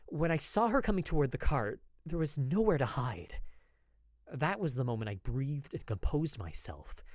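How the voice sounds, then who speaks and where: Nervous lovestruck old-west apothecary, acted out, wildly varying pitch. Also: dry recording, clean studio sound. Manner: hesitant, confessional, dramatic, with sighs. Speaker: voice actor. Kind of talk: dramatic first-person fiction narration, podfic.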